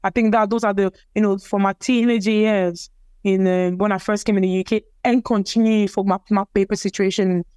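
A woman's voice: medium pitch, fairly even intonation, moderate pace, darkish tone, clean tone, dry recording, clean studio sound. A young woman from Cameroon whose first language is French, telling her story in a casual interview, hesitant and repeating words with many fillers. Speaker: interviewee, athlete.

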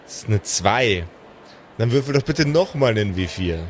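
A man's voice: lowish voice, expressive, moderate pace, slight background noise. A man, speaking colloquially as the game master of an improvised tabletop game. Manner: colloquial, swears casually.

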